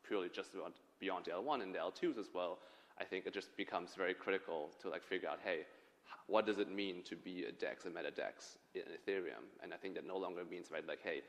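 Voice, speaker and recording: A man's voice: deep voice, fast. A man answering on a conference panel, rambling and hedging with lots of fillers. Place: conference stage in a large hall. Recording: long reverb of a large hall.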